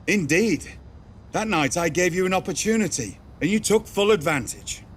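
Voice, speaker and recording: An actor playing an encouraging mentor in a wrestling video game, speaking formally and in measured phrases. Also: male voice, sits high, animated, slight background noise. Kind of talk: video game cutscene acting.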